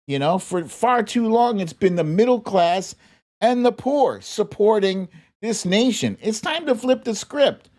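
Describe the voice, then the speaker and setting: A man's voice: high-pitched, lively intonation, unhurried, a little mellow. A man with an American accent giving casual, opinionated political commentary as a host, informal and emphatic.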